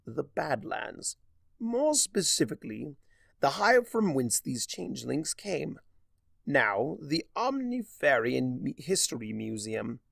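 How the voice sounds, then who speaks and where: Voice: mid-range voice, big pitch swings, takes it slowly, gravelly, fairly bright tone, no echo, clean studio sound, heavily acted. A man voicing a smug, theatrical trickster spirit who lectures in a drawling, mocking way. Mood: smug, showy. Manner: drawling, mocking, grandly explanatory.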